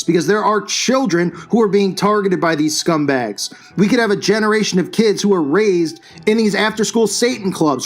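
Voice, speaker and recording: High-voiced man ranting scornfully. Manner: combative, scornful, preachy. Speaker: channel host and commentator. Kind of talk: religious commentary rant over news clips.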